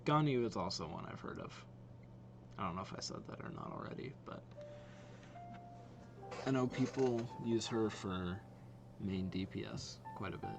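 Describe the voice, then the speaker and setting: A man's voice: on the low side, normal speed, long pauses. A young man hosting a game reaction video, giving casual, off-the-cuff commentary. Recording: faint game music behind voice. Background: game trailer music.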